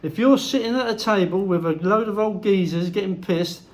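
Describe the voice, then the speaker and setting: A man's voice: sits high, normal speed. An older man, ranting bluntly to camera in a casual vlog and swearing heavily. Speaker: vlogger. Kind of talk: opinionated casual monologue.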